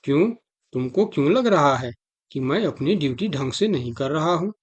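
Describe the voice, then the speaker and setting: A man's voice: on the high side, lively intonation, normal speed, breathy edge. Man voicing a stern elephant jungle guard. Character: dutiful, suspicious male elephant guard of the jungle. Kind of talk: Hindi children's cartoon dialogue.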